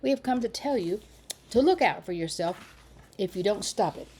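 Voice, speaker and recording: Woman reading as a fired up little boy, indignant and loud. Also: medium pitch, normal speed, slight background noise, natural voice. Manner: excited, indignant. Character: excitable red-haired young boy.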